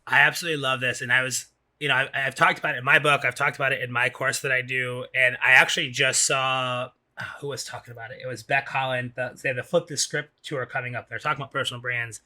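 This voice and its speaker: A man's voice: mid-range voice, flat delivery, quick pace, pushed and bright. A man hosting a podcast in casual chat, informal and circling back to restate his points. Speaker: podcast host.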